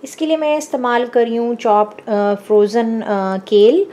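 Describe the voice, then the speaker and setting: A woman hosting a home cooking show, calmly explaining each step and addressing her viewers directly. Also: on the high side, a little slow. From Pakistan. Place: home kitchen.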